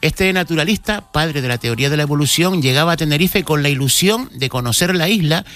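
A man's voice: on the high side, lively intonation, fairly quick, a bit bright. Man telling history on radio. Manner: storytelling with frequent restarts. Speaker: cultural commentator. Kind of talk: radio segment on local history.

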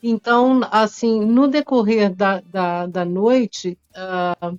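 Smooth-voiced woman weather reporter. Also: mid-range voice, fairly even intonation, slow pace, darkish tone, no echo, dull audio, faint music behind.

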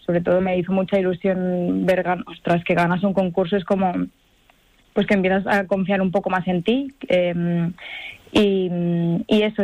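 A woman's voice: medium pitch, no room sound, highs cut off. A woman in her twenties from Spain answering radio interview questions, chatty and hesitant, full of fillers and restarts. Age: 20s. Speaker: guest artist.

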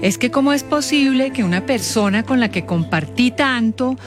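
Woman acting high-voiced, wounded, indignant letter writer. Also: animated, normal speed, natural voice. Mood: hurt, indignant. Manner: melodramatic, aggrieved. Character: wounded, indignant woman writing to agony aunt. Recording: music playing behind the voice.

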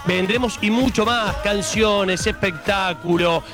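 Male Argentine radio host, high register, joking casual banter over clear music. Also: slow pace. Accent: Argentine, Rioplatense. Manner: colloquial, jokey.

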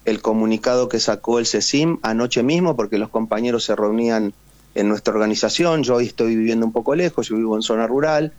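A man's voice: medium pitch, brisk, darkish tone, dry recording, lo-fi sound. A man with an Argentine accent, a veteran guest on a radio call, talking casually and hesitantly with many fillers and tag questions. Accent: Argentine Spanish. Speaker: guest, war veteran and writer. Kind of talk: casual phone interview.

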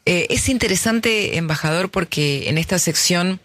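A woman's voice: fairly low pitch, normal speed, a bit bright. A woman hosting a live radio interview, introducing and questioning a guest. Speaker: radio host.

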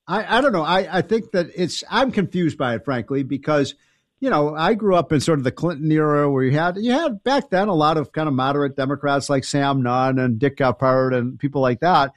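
A man's voice: on the high side, dry recording. Stuffed-up man hosting talk radio. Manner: casual, quick interjections, some stumbles. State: stuffed up from a summer cold. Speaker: radio talk show host.

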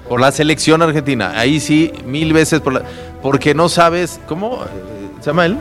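A Mexican man hosts a sports talk show in a slightly high voice. He is emphatic and repeats phrases for weight. Music plays clearly behind him. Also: lively intonation, normal speed, fairly bright tone. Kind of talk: lively unscripted sports panel talk.

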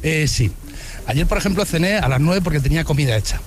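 A man, a listener stopped on the street, answering in a relaxed, agreeable way. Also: on the high side, fast talker, noisy background. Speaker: listener interviewee.

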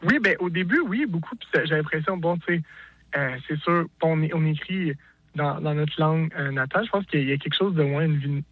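A Québécois man speaks as an interview guest, his slightly high voice stumbling through restarts and fillers. The line is dry and dull, with the top end muffled. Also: fairly quick, dry recording. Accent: Québécois French. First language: French. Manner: hesitant, many restarts and fillers. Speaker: singer-songwriter guest. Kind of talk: casual interview.

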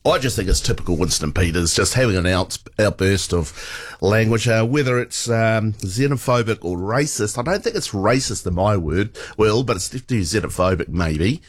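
Easygoing lower-voiced New man. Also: moderate pace. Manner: easygoing, hesitant. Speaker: panelist. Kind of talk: casual panel discussion.

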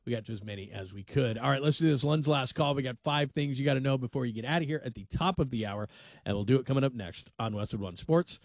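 A man's voice: medium pitch, fast talker, dry recording. A man hosting a sports call-in radio show from a studio, talking loosely and going off on tangents. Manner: loose, rambling, laughs easily. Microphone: studio microphone.

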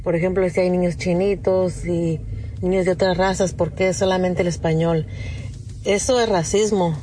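A woman's voice: lowish voice, fairly even intonation, normal speed, warm tone, a little hiss or hum, poor audio. A woman calling in to a radio show, indignantly arguing her point. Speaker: caller.

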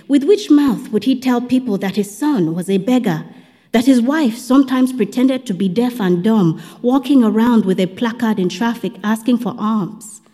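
A woman's voice: fairly low pitch, mellow, long reverb tail. A woman reading a first-person literary story as a scripted narration. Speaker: audiobook narrator.

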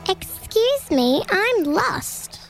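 Very high, timid tiny lost creature. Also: female voice, sing-song, very slow, creaky, soft background music, full character voice. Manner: tentative, hesitant.

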